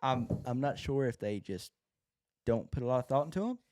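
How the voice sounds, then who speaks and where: A man with a mellow, relaxed voice at a middle pitch. He chats casually as a podcast co-host, talking through a television show. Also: brisk.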